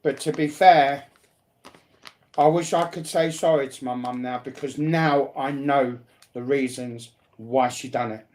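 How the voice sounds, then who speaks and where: A man's voice: medium pitch, steady tone, unhurried, a little mellow. A man talking personally and bluntly, repeating phrases to drive his points home. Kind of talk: emphatic personal talk, partly confrontational.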